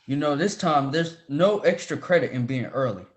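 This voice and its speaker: A man's voice: on the high side, lively intonation, moderate pace, natural voice. A man playing a stern, proud professor who speaks formally and reprovingly in a dramatic script reading. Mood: angry reproach. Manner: formal, reprimanding. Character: stern, proud male professor, demanding authority figure.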